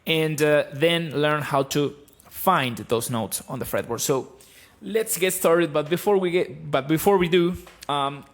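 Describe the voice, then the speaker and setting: Guitar teacher, thirties, Argentine-accented male, blunt and lively, close mic in a small home room. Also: fairly high pitch, measured pace, hardly any pauses. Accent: Argentine Spanish. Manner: blunt, animated, explaining. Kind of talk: live-streamed guitar lesson. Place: small room with bookshelves, indoors. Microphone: studio mic on stand, close.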